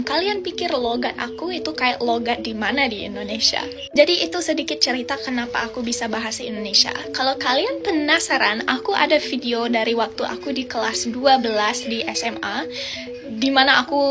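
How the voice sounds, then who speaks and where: A young woman, an English speaker, talks to her followers in a chatty, explaining way. Her voice sits high and bright in pitch. A clear music bed plays under her words. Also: a little fast. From Australia. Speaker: vlogger. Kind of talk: casual talk to camera.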